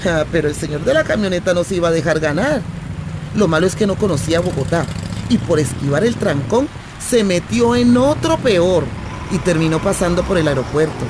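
Actress as adventurous Colombian woman, restless, affectionate, playful storyteller, radio drama, clear traffic noise behind. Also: lowish voice, animated, brisk, close to an everyday voice. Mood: excited, storytelling.

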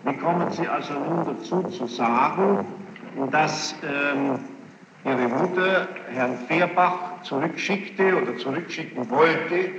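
A man's voice: on the high side, vocal fry. A man formally questioning a witness in court, insistent and probing, often repeating answers back. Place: large reverberant courtroom. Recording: muffled, noisy, echoey archival courtroom tape.

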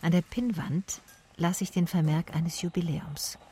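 Actress playing female private eye, radio drama, low pitch, probing, measured, matter-of-fact, faint ambient noise. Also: fairly even intonation, mellow, close to an everyday voice. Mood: observant, matter-of-fact. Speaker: actor and narrator in a radio play. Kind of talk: first-person radio drama narration and dialogue.

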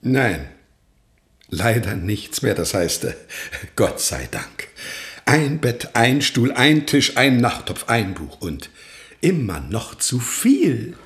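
An actor playing an eccentric, pompous philosopher in a radio drama, speaking in a professorial, aphoristic manner. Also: male voice, mid-range voice, sing-song, slow pace. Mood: wry, musing. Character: eccentric, pompous male philosopher who renounced possessions. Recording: roomy, echoing sound.

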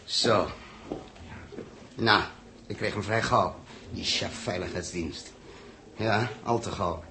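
Steady space crew leader, low voice, Netherlands Dutch accent, brisk explanations, vintage radio drama, faint hiss. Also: male voice, full character voice. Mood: wary. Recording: hissy 1960s radio drama recording.

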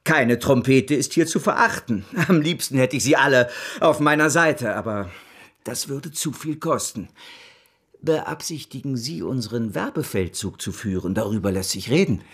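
An actor playing a vain, scheming French banker of about fifty in a German radio drama, slightly husky, brisk and smooth-talking. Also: male voice, mid-range voice, expressive, fairly bright tone. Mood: calculating.

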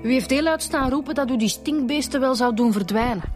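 Actress as high-voiced Flemish detective. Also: female voice, brisk, soft background music, lightly acted. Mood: probing. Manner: matter-of-fact, inquisitive. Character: observant, persistent female police detective. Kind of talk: radio drama acting.

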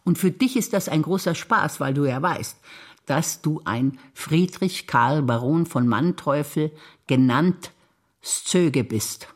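A woman's voice: deep voice, slow. Wry older female stage actor. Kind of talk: scripted stage dialogue.

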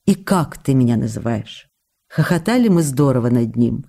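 An actress playing a young newlywed woman in a radio play, reading out her letters with affectionate, animated longing for her husband. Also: very deep voice, normal speed, vocal fry, exaggerated voice. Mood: amused. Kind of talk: dramatic reading of intimate letters.

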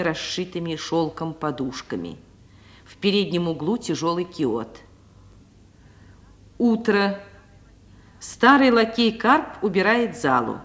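A woman narrator reading stage directions for a radio play in a measured, literary manner. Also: mid-range voice, fairly bright tone.

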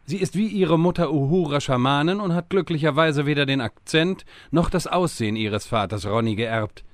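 An actor playing a stern, self-important time-travelling wizard with a measured, dry delivery in a comedic fantasy audio drama. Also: male voice, a little high, dry recording, close to an everyday voice. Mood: pompous, dry.

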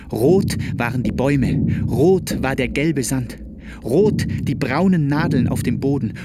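A man narrates a literary radio play in a grave, deliberate and dramatic way. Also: medium pitch, heavy background noise.

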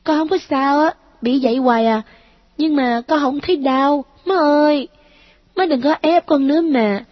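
A woman's voice: high voice, moderate pace, no room sound, highs cut off, voice pushed up, lightly acted. A woman voicing the ghost of a dead little boy, clingy and pleading in a childlike whine.

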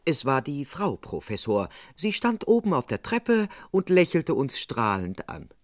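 An actor playing a dutiful police constable who narrates a comic German radio crime play in a formal, measured way. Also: male voice, on the high side, huge pitch swings, dry recording, clean studio sound. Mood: measured narrating.

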